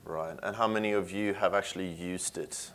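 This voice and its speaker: A man's voice: sits low, fairly even intonation, normal speed, fairly bright tone. A man in his thirties from Sweden with a Swedish accent, giving a technical conference talk in a casual, conversational way with frequent filler sounds. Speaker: conference speaker. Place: conference room with an audience.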